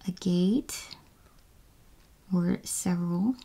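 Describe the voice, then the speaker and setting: A woman's voice: fairly low pitch, drawn-out words, vocal fry, mellow, husky. A woman chatting casually as she crafts at home, musing to herself and often trailing off into murmurs. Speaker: planner video host. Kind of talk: casual crafting chat, narrating as she works. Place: at home, indoors.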